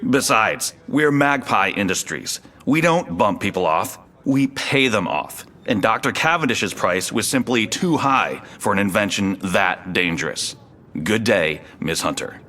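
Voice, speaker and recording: A cold corporate representative in an audio drama. His voice is fairly low but hard and forceful. He speaks formally, with clipped, smug condescension. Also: expressive, a little slow. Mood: veiled menace.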